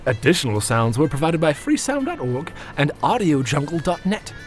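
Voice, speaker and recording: An actor in a comic audio drama playing a pompous, eccentric inspector as announcer, narrating theatrically. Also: male voice, a little high, big pitch swings, moderate pace, soft background music. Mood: grandiose. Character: pompous, eccentric chief inspector hosting the show. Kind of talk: comic scripted narration, reading credits.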